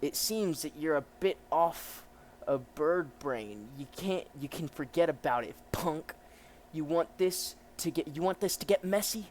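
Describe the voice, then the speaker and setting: A man's voice: on the high side, lively intonation, measured pace, a little mellow, pitched above the performer's own voice. A man acts out a crooked New York cop of the thirties, a sneering bully full of slangy threats. The comic-book villain comes through over faint noise. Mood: threatening. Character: bullying, corrupt 1930s New York policeman.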